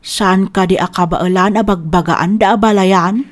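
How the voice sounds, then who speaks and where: A woman's voice: medium pitch, steady tone, normal speed, close to an everyday voice. A woman with an Ilocano Filipino accent playing a concerned, indignant mother in an audio drama.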